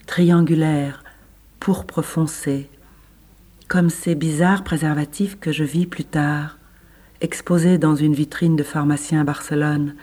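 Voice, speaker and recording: A person reading literary French prose slowly and deliberately, in short measured phrases. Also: mid-range voice, warm tone. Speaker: reader of the French original.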